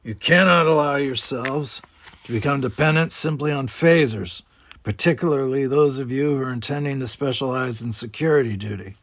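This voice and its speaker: A man voicing a confident, burly older male combat instructor, lecturing in a measured, authoritative way. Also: medium pitch, fairly bright tone, dry recording, natural voice. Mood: instructive, authoritative.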